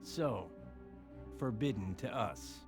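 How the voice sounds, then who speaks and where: An actor playing an impatient army general in a war video game, blunt, clipped and demanding. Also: male voice, on the low side, animated, slow pace, creaky, over music, full character voice. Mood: impatient, skeptical. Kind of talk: video game cutscene acting.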